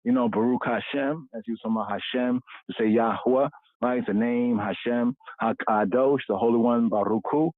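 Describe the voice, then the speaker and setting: A man's voice: mid-range voice, normal speed. A man giving an informal religious teaching talk, rambling and repeating phrases, dropping Hebrew terms into his speech. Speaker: religious commentator. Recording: tinny phone-like, dry.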